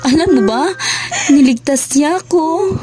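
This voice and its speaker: A woman's voice: sits high, a little slow, over music. A woman playing a caring, earnest young activist in an amateur radio drama, tender and reassuring. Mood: soothing.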